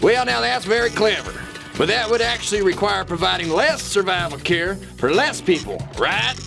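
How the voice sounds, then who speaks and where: A man's voice: high-pitched, expressive, normal speed, vocal fry, music in the background. A man playing a folksy, self-important, clueless boss in a satirical radio play. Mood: folksy, scheming. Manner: folksy, blustering.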